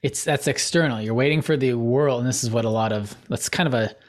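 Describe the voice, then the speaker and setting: A man's voice: medium pitch, brisk. Rambling, self-mocking podcast co-host man. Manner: rambling, digressive, laughs at himself. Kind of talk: casual conversational chat.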